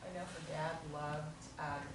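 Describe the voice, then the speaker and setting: A woman with a fairly low voice chats animatedly through her feedback. She is heard from afar in an echoey room, with other people audible around her. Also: flat delivery, a little slow. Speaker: audience member giving feedback. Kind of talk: casual discussion. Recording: echoey room from far off, crowd.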